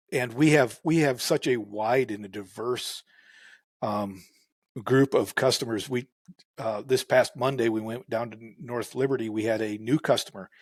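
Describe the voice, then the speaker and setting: A male farmer speaking at an ordinary middle pitch, easy and unhurried, with a lot of "uh" and "um" as he works his way through his thoughts. The recording is dry and close, with no room around the voice. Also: no room sound. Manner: unhurried, many fillers and restarts. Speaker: farmer guest. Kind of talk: casual interview talk.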